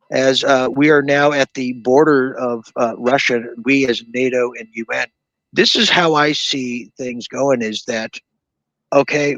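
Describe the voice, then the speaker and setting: A man's voice: mid-range voice, fairly bright tone, no room sound, poor audio. A man hosting a late-night talk show, chatting casually with a guest, stumbling and restarting often as he reads viewer comments. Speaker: host.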